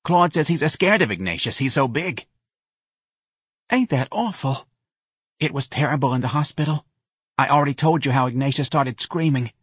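A man voicing a loud, bossy older woman with a New Orleans accent, brash and pushy in an audiobook story. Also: mid-range voice, lively intonation, normal speed, pauses often, breathy edge, no echo, clean studio sound, voice pushed up. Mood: urgent, gossipy.

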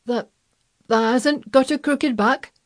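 A woman voicing a blunt, gruff old gardener man with a hoarse, shaky voice and broad Yorkshire dialect in a storybook reading. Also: high voice, slow, voice pushed up. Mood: shaken, stunned. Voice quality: hoarse, quavering.

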